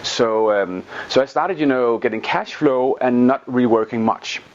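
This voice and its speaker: A man's voice: mid-range voice, unhurried. A Danish man, a native Danish speaker using English with a Danish accent, telling his story as a guest in a casual interview. He repeats words and tags his sentences with "right?". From Denmark. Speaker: guest, online business teacher. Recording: dull lo-fi sound.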